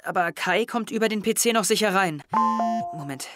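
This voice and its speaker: A man's voice: high-pitched, normal speed, heavy background noise, natural voice. A young man playing an earnest, hesitant, guilt-ridden teenage boy in an audio drama, with a subdued manner. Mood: nervous.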